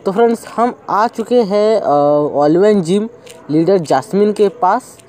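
A man's voice: sits high, a little slow, mellow. Chatty young man narrating gameplay. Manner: chatty, thinks aloud while playing. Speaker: gaming channel host. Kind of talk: casual let's-play commentary.

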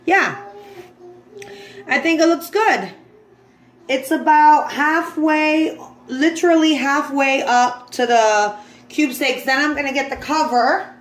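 A high-voiced woman talks viewers through her cooking in an informal, chatty way. She takes her time, speaking off the cuff in a home kitchen. The phone recording is echoey, with clear background noise. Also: slow, pauses often. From the Bronx, New York, USA. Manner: informal, addressing viewers as guys, unscripted. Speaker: home cook vlogger. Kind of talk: casual cooking demonstration, chatty.

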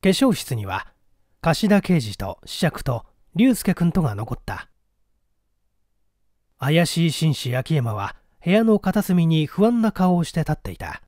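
Mellow, expressive male story narrator. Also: a little high, measured pace, no room sound, studio quality. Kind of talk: dramatic scripted story narration.

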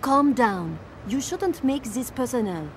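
A woman's voice: fairly high pitch, animated, unhurried, noisy background. A woman voicing a cool, sly blonde handler of an assassin contest in a video game cutscene. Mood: cool, teasing. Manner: coolly detached, sly.

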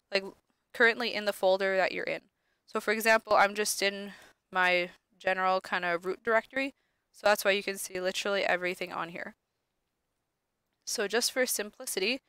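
A young woman lab instructor, sniffly from allergies, talking casually through a screen-recorded software tutorial with many ums. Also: medium pitch, clear, resonant tone, no echo.

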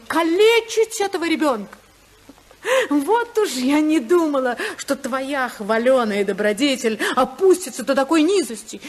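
An actress playing a wronged but defiant young wife and mother in 1950s radio drama, emotional and dignified. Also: high-pitched. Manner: deliberate, emotional, bitterly dignified.

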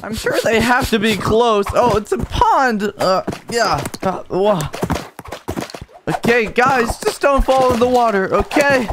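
A woman voicing an excitable orange stick figure in an amateur cartoon fan dub, searching for lost friends with casual, youthful slang and exclamations. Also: a little high, slow, noisy background. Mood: curious, upbeat.

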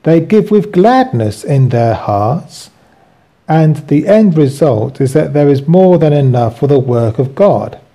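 A man reading a scripted religious lesson aloud as a teacher, in measured phrases. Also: fairly high pitch, expressive, warm tone. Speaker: Bible teacher.

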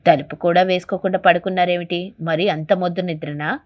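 A sly cartoon parrot played by a woman who is always plotting. The delivery is wheedling and jealous, made for an animated bird fable. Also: fairly low pitch, brisk, close to an everyday voice. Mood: scolding.

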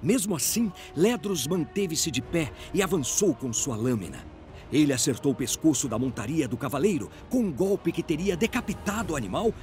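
Animated, higher-pitched Brazilian male storyteller. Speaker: narrator. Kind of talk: dramatic scripted fantasy narration. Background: music.

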